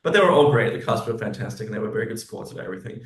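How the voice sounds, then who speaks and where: A man chatting casually as an interview guest, halting and often restarting his thoughts mid-sentence. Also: lowish voice, expressive. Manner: halting, self-interrupting, rambling. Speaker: guest, musical theatre writer. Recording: echoey room.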